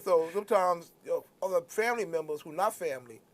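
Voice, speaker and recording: A man from New York City with a high-pitched voice chats as a co-host. He talks quickly and casually, in a streetwise way, often circling back over his own phrases. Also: animated. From New York City, born in Brooklyn, moved to Queens. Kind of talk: casual chat.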